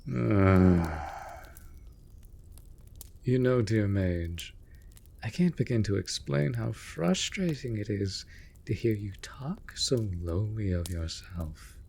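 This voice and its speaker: An actor playing a mage's raven familiar in a fantasy audio drama, theatrical and formal, scolding but caring. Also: male voice, on the low side, expressive, slow, gravelly, long pauses, a little mellow, breathy edge, heavily acted. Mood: earnest, frustrated concern. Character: male raven familiar of a storm mage, exasperated but devoted. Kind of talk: scripted fantasy audio roleplay.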